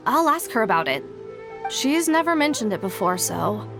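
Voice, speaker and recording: A woman's voice: fairly high pitch, sing-song, moderate pace, over music. An actress playing a matter-of-fact townswoman sharing mild gossip in a video game. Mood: unsure.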